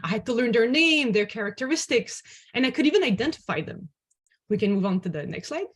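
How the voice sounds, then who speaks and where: A woman's voice: a little high, animated, quick pace, husky. A woman hosting an online dialogue, giving a prepared presentation. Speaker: host and moderator.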